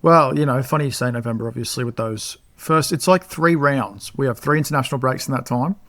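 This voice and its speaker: A man's voice: mid-range voice, brisk, a bit bright, dry recording. A man co-hosting a football podcast, talking in a laid-back, matter-of-fact way. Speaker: podcast co-host. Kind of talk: casual football podcast chat.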